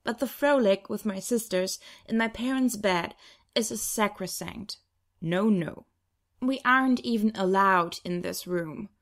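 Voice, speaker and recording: A woman narrating a first-person novel as an audiobook reader, in an expressive storytelling manner. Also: medium pitch, measured pace.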